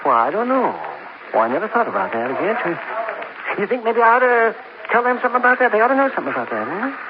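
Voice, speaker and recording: A man's voice: sits high, big pitch swings, quick pace, a crowd in the background. An actor playing a chatty, gossipy lunchroom counterman in a 1950s radio drama, with a folksy, rambling drawl and chuckles. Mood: unsure. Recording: dull hissy old radio recording.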